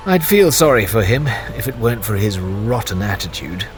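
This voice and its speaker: A man's voice: lowish voice, big pitch swings, moderate pace. A wry, fussy court chamberlain played by a man, all nervous charm and evasive quips. His voice leaps up and down as he talks. Music plays behind him. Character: nervous, quick-witted male court chamberlain. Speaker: chamberlain, guide. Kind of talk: audio drama acting.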